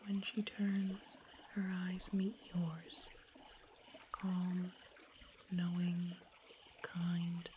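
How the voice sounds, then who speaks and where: A woman narrating a soothing ASMR sleep story in a hushed, breathy whisper, soft-spoken and unhurried. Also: on the low side, flat delivery, dull audio, slight background noise.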